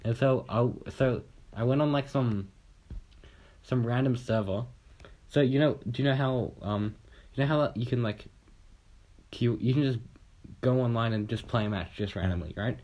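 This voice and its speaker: A man's voice: medium pitch, normal speed, long pauses, a little mellow, dull audio. A young man from Australia telling a long, rambling story in casual chat on a podcast, swearing casually and acting out what people said.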